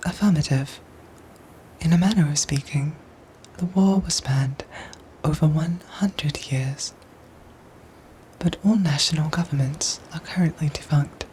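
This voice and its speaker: A woman playing a calm, protective android soldier in an ASMR audio roleplay, speaking softly and breathily in formal, measured, robotic phrases. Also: deep voice, slight background noise, poor audio. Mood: formal, matter-of-fact. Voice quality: soft, breathy, whispery.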